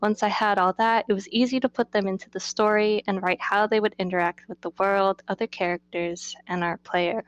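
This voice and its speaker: Woman, game artist, Q&A answers, prepared notes, medium pitch, dry close recording. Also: steady tone, brisk, few pauses, clear, resonant tone, no echo. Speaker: game developer and character artist in a Q&A. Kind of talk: conversational Q&A, partly reading prepared notes.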